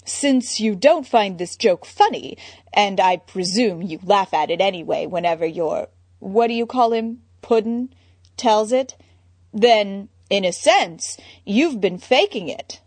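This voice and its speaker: A woman's voice: mid-range voice, animated, measured pace, darkish tone, no echo. A woman voicing a rich, foppish playboy man with a lazy drawl.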